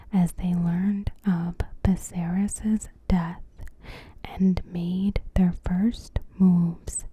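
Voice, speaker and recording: Very slow, whispering woman doing ASMR. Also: lowish voice. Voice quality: soft, breathy whisper. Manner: hushed, deliberate delivery in short phrases with gaps. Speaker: ASMR host. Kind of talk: whispered ASMR recap narration.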